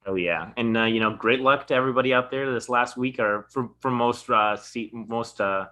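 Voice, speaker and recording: A man's voice: medium pitch, moderate pace, sharp tone. A man co-hosting a fantasy football show, chiming in with short, laid-back remarks. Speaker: podcast co-host. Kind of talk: casual sports chat.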